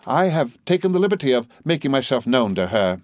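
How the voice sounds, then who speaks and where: A man voicing a good-natured, businesslike male agent in an audiobook, polite and quietly firm. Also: mid-range voice, sing-song, fairly quick, dry recording, studio quality, voice pushed up, natural voice.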